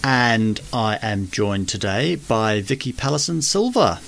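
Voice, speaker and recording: A man's voice: fairly low pitch, animated, unhurried, vocal fry, fairly bright tone. A man hosting a podcast interview, asking short friendly questions.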